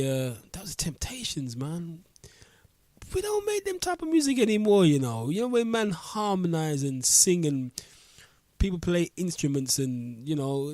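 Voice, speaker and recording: A man's voice: a little high, a little mellow, no room sound. A man hosting a radio talk show, blocked up with a cold, chatting loosely in street slang. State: blocked-up nose. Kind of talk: casual radio chat. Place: radio studio. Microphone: studio mic.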